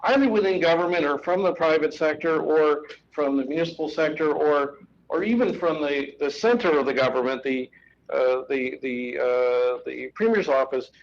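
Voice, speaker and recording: A man's voice: a little high, normal speed. A man moderating a live panel discussion, introducing a speaker with many fillers and restarts. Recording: dull muffled live-stream audio with faint noise.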